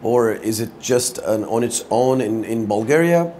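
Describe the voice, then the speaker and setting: A man's voice: medium pitch, expressive, moderate pace, warm tone. A man with a Turkish accent, a native Turkish speaker, hosting a conversational interview. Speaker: interview show host. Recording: clear background ambient noise.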